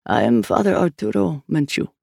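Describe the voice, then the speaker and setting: A man voicing an older male priest, deep, measured and studiously calm, in an audiobook. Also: vocal fry, no echo, clean studio sound, heavily acted.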